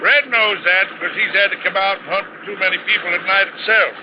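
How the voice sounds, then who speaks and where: A man's voice: sits high, fairly quick, background noise, close to an everyday voice. A man playing an easygoing, amiable lake dock hand in 1950s radio drama style. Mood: calling out. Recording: dull old radio recording.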